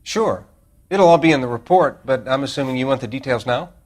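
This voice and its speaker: Man acting forensic examiner, precise, businesslike, average pitch, crime game. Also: a little fast, close to an everyday voice. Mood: matter-of-fact. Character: matter-of-fact male forensic examiner.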